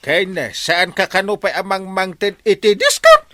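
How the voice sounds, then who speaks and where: An excitable village captain from an Ilocano radio comedy, with a bright, forceful voice that swings wildly up and down. He blusters and scolds in drawn-out exclamations, recorded dry and close. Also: high voice, no room sound, exaggerated voice. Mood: scolding. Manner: exclamatory, full of drawn-out interjections. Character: excitable comic male village captain, blustering and easily swayed.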